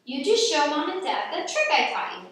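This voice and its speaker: A woman acting a scheming, bossy girl ringleader in a radio play. Her voice is high and sounds off-mic in a very echoey room with faint noise. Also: big pitch swings, moderate pace, fairly bright tone, far from the mic. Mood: instructing. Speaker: actor in an amateur radio play.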